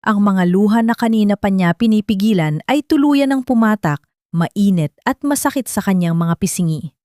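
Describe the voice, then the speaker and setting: A woman's voice: mid-range voice, moderate pace, warm tone, no echo. Woman narrating dramatic fiction, dry sound. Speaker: audiobook narrator. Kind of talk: dramatic scripted story narration.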